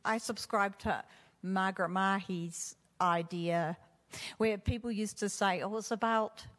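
A woman's voice: medium pitch, steady tone, unhurried, a bit bright, long reverb tail. A woman author with a New Zealand accent, talking casually in an interview, rambling a little, laughing often and sometimes losing her train of thought. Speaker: author guest.

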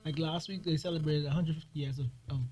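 A man's voice: fairly high pitch, steady tone, fast, darkish tone, slightly rough. A man hosting a casual interview, chatty and agreeable. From Bahamas. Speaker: interview host.

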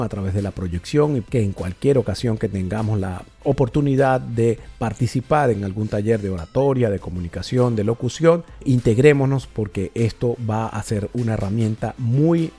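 A man hosting a podcast episode, giving measured, motivational advice on communication as a trainer. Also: lowish voice, warm tone, husky. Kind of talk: instructional podcast monologue.

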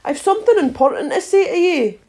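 Woman voicing a curt, high-pitched storybook caterpillar. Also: sing-song, measured pace, a little mellow. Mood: curt, imperious. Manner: curt, questioning, dismissive. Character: languid, stern, contemptuous caterpillar, curt and unpleasant.

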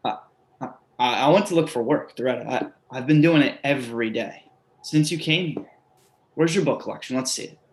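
A man's voice: mid-range voice, fairly quick, slight background noise, close to an everyday voice. A man in a play reading, playing an anxious, needy man with a stammering, pleading manner. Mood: nervous pleading. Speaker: actor in a staged play reading. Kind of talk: readers theatre acting.

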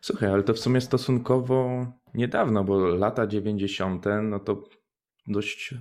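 A man's voice: on the low side, fairly even intonation, measured pace, darkish tone. A young man co-hosting a radio show, moving between prepared narration and casual chat. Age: young adult.

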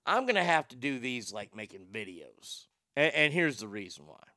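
Middle-aged male host, stutters, blunt and profane, bright, clean, dry voice. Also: medium pitch, sing-song, normal speed, dry recording. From Texas. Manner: rambling, blunt, profane, laughs at his own jokes. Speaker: livestream show host. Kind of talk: casual chat, reading viewer comments.

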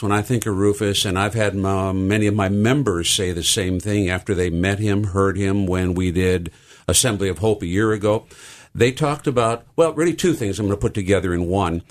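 A pastor in an interview with a fairly low, loud voice. He speaks earnestly and in a preacherly way, piling up points the way a sermon does. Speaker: pastor, guest. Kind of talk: casual interview talk. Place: studio room.

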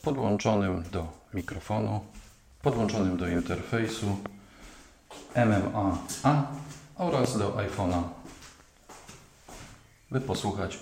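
A Polish man with a very low-pitched voice narrates an audio gear demonstration. He is recorded on binaural microphones worn on his head, with clearly audible background noise and small clicks. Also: measured pace, long pauses, darkish tone. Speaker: podcast host. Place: on the move around home, partly outdoors.

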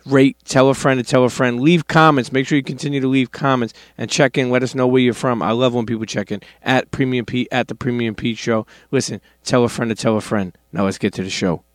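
A man's voice: mid-range voice, steady tone, fairly quick, hardly any pauses, no room sound, clean studio sound. A man from Brooklyn with a New York accent, hosting a podcast in a loose, slangy, swearing manner and reading ads. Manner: loose, street slang, swears, repeats phrases. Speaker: podcast host. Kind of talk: casual podcast chat and ad reads.

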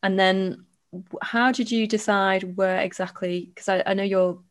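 A woman's voice: medium pitch, fairly even intonation, unhurried. A woman hosting a property podcast, asking questions with frequent restarts. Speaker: podcast host. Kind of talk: podcast interview.

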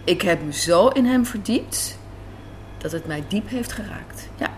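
A woman's voice: medium pitch, animated, measured pace. A woman answering as an interviewed writer and director, reflective and emphatic, repeating phrases for emphasis. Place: café interview. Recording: some background noise and ambience.

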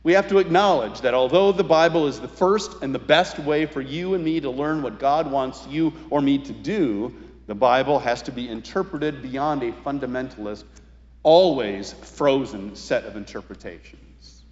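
Male preacher, medium register, thoughtful and measured sermon with weighted pauses; dull-sounding, reverberant hall recording. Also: medium pitch, a little mellow.

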